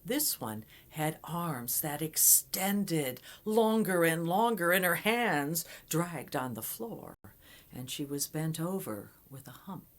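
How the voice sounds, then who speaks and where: A woman telling a folktale aloud in an expressive, dramatic way with deliberate pauses. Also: low-pitched. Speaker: storyteller. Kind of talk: oral folktale storytelling, dramatic.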